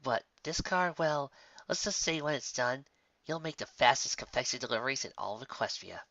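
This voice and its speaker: A reassuring, wry young unicorn mare from a storybook, read by a man. The delivery is soft and half-breathed, close and dry, on a slightly dull recording. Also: medium pitch, lively intonation, a little fast, no echo. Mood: matter-of-fact.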